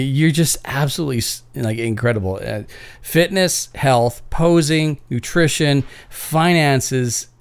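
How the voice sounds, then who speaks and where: A man's voice: mid-range voice, no room sound. A man in his thirties hosting a podcast from a home studio, speaking enthusiastically and effusively on a studio mic. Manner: enthusiastic, effusive, restarts often. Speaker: podcast host. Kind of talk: casual interview. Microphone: studio mic with headphones.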